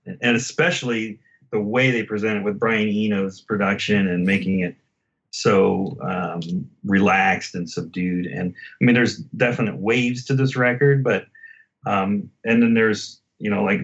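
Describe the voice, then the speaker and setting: A man with a fairly low voice talks casually as a podcast guest about an album. He halts and rephrases as he works toward his point. The recording is a little dull and lo-fi. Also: fairly even intonation. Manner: halting, circling back to rephrase.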